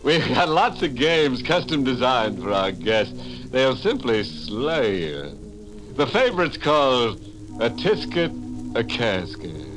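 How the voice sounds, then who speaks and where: A man's voice: medium pitch, big pitch swings, slow, rough recording. A man acting as a gleefully sinister host of a 1940s radio horror show, theatrical and mock-jovial. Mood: ghoulish glee. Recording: old radio recording with music.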